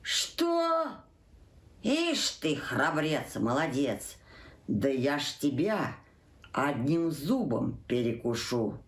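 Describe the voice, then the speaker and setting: A woman's voice: low voice, very animated, extremely slow, roomy sound, pitched below the performer's own voice, heavily acted. A woman voicing a sly, menacing fox who pries and sneers. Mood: sneering. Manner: prying, sneering.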